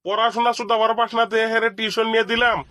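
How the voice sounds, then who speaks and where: A man's voice: very high-pitched, flat delivery, racing, bright, forceful tone, no echo, clean studio sound. A man playing a strict, threatening father and tutor in a comedy cartoon, scolding. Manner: scolding, hectoring.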